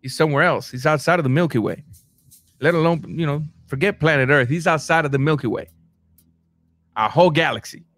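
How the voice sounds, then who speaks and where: A man from Cuba, hosting a casual boxing livestream and reading viewer comments, animated, stumbling over his words and jumping up in pitch. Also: brisk, faint music behind. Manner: animated, repeats words and restarts, rising to high bursts.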